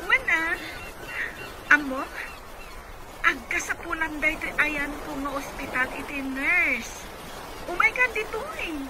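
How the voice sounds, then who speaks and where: A woman's voice: high voice, lively intonation, takes it slowly, pauses often. A woman playing an eager, nosy female friend in an Ilocano radio comedy-drama. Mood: chatty, surprised. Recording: noisy radio drama mix.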